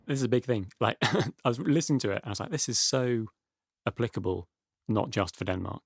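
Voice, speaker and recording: A man hosting a podcast, chatting casually and quickly in a studio with frequent murmurs of agreement. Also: mid-range voice, creaky, husky, no room sound. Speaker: podcast host. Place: small dry studio.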